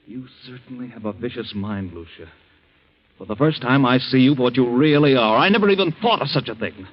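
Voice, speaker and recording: An actor playing an earnest, aggrieved husband in a theatrical 1940s radio drama. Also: male voice, mid-range voice, animated, a little fast, close to an everyday voice. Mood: indignant, scornful. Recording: muffled 1940s radio recording.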